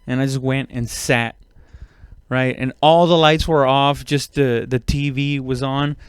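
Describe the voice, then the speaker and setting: A man's voice: medium pitch, fairly even intonation, unhurried, slight background noise. A man telling casual, comedic stories as a podcast host, rambling along in slangy, profane talk.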